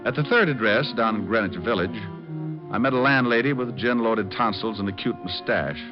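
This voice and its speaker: A man's voice: lowish voice, a little fast, lightly acted. A man playing a cocky, tough private detective in a 1940s radio drama, with a wry, clipped delivery. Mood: wry, narrating. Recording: muffled old-time radio recording, music behind.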